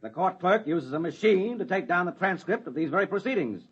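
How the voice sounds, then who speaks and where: A persuasive American defense lawyer in an old radio play. He is high-voiced and husky, with an oratorical, polite delivery. The recording is dull. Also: lively intonation, fairly quick, a bit bright, heavily acted. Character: smooth-talking, persuasive male defense lawyer in court. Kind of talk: 1950s radio drama acting.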